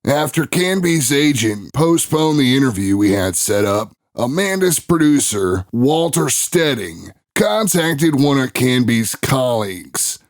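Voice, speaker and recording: A man playing a brash, skeptical radio show host in an audio drama, narrating in a drawn-out, wry, showy way. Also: mid-range voice, very animated, no room sound.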